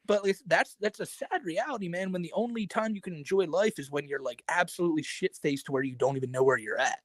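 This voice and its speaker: Podcast guy rambling thru a story, laughs a lot, kinda high voice, dry close mic. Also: fast, dry recording. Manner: animated storytelling, laughs often, lots of filler words. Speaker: podcast co-host. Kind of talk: casual chat.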